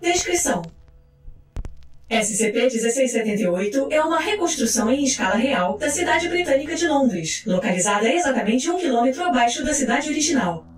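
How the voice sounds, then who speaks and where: A woman reads scripted documentary-style narration in a formal, measured, clinical manner. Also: sits high, sing-song. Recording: echoey voice track over music. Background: music.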